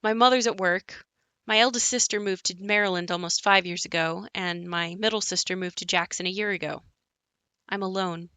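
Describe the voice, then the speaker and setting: A woman's voice: medium pitch, steady tone, moderate pace, bright, forceful tone, no echo, lightly acted. A young woman playing a wry narrator in an audio play, telling of her haunted house in a conversational monologue. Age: young adult. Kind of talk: scripted dramatic monologue.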